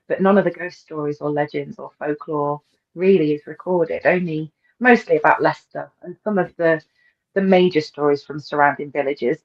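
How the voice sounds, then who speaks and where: A woman's voice: sits low, highs cut off. A woman, a guest author chatting in an interview, enthusiastic and hesitant with many ums and repeats. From English East Midlands. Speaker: guest author and paranormal researcher. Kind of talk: casual interview chat.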